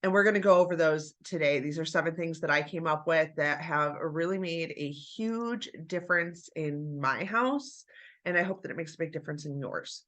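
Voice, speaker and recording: Bright-voiced woman with a stuffy nose. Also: low voice, normal speed. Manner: chatty, encouraging, addresses viewers as you guys. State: stuffy nose after flu. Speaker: homemaking vlogger. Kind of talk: casual chat to camera.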